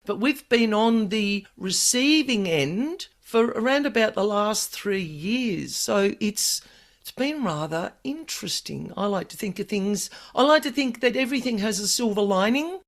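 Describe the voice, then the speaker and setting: A woman hosting a podcast in casual chat, her voice croaky and hoarse from a virus, explaining things at length in an earnest way. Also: mid-range voice, lively intonation, unhurried. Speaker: podcast host.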